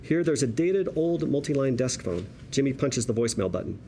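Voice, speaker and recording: A man with a dark, mellow voice of middling pitch narrates a script. He reads the stage directions at a table read, with faint noise behind him. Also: sing-song, brisk. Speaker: narrator reading screenplay directions.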